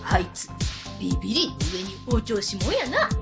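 A woman's voice: mid-range voice, very animated, moderate pace, gravelly, over music. A woman playing a blunt, nosy, mocking middle-aged auntie with a Kansai accent in a comic radio drama.

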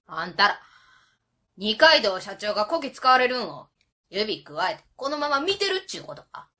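A woman acts out a hot-tempered Kansai auntie for a radio drama. Her voice is bright and forceful, swinging widely in pitch. She scolds bluntly in colloquial speech. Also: very animated, moderate pace. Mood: indignant, pressing. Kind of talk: web radio drama acting.

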